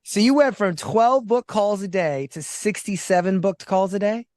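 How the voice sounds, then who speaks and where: A man hosting a casual video call, talking excitably and repeating his words. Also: high-pitched, moderate pace, dry recording, clean studio sound.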